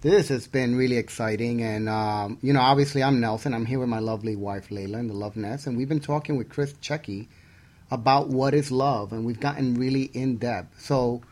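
Male talk radio co-host, average pitch, casual delivery that gropes a little toward each question. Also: a little fast, a little mellow. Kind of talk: casual talk show hosting.

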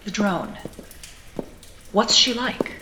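A woman's voice: mid-range voice, drawn-out words. A woman playing a tough, inquisitive character in a cyberpunk audio drama, talking in a wry, teasing, sardonic way. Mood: wry, probing. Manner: sardonic, probing, playful. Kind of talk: naturalistic audio drama acting. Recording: echoey sewer-like space, background noise.

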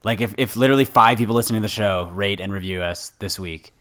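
Male co-host, lowish pitch, offhand and casual podcast interjections. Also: fast talker, fairly bright tone.

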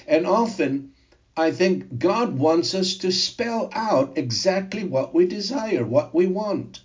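An older man whose first language is Greek, preaching a sermon and telling personal testimony in a slow, emphatic way. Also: sits high, lively intonation, muffled recording. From Zimbabwe.

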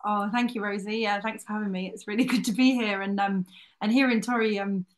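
A woman's voice: medium pitch, fairly even intonation, brisk. Woman from North Wales telling her story. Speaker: adventurer and broadcaster. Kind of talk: personal talk.

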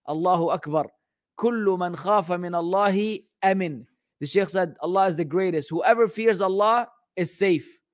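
A man giving an Islamic religious lesson as a teacher, moving between Arabic text and English translation. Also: high-pitched. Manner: halting English delivery, rapid fluent Arabic recitation.

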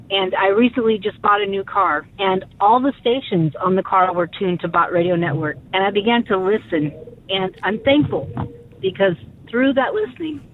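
A female caller from California with a medium-pitched voice. She gives a personal testimony through a thin telephone line with faint noise. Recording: noisy, narrow phone line.

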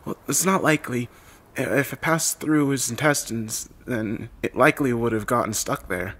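Casting: brisk female nurse, audio drama, focused, urgent, higher register male narrator performs. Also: a bit bright, husky. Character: brisk, resolute female nurse taking charge of surgery. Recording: music bed under the voice.

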